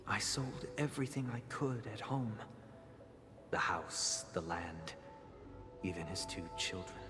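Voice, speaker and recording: Deep-voiced, solemn melancholy family head. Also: male voice, expressive. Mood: grave, sorrowful. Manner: measured, solemn, formal, with rhetorical pauses. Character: grave, polite, melancholy male family head recounting tragedies. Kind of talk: theatrical video game monologue. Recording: long reverb, loud music behind. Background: loud game music.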